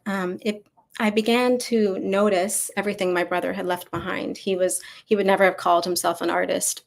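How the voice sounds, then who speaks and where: A woman filmmaker speaking at a festival Q&A, answering thoughtfully in an unhurried, storytelling manner with soft fillers. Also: fairly low pitch, vocal fry. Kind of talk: reflective panel answer. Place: festival screening venue.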